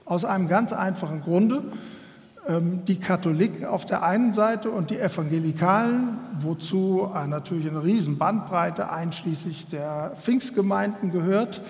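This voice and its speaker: A German man giving a public lecture to an audience, in a measured and earnest way, often pausing to find his words. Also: high-pitched, darkish tone. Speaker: guest speaker. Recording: long hall reverb.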